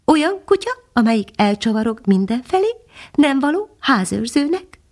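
A woman doing a high modest little nightingale. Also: sing-song, heavily acted. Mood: admonishing. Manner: gentle, patient, instructive. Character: modest little female nightingale, small songbird. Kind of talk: storybook character voice.

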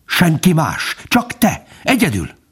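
A man's voice: low-pitched, expressive, darkish tone, breathy edge. A man voicing a wounded, dying storybook fox, drawing out words in a pained, humble or bitterly contemptuous way. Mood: hissing scorn. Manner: drawn-out, pained, hissing and pleading.

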